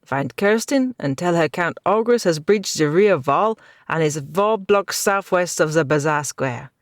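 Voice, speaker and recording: A single reader voicing a grim foreign knight who gives urgent, forceful orders. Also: mid-range voice, animated, a little slow, no room sound, clean studio sound, lightly acted. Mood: commanding, urgent. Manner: commanding, forceful.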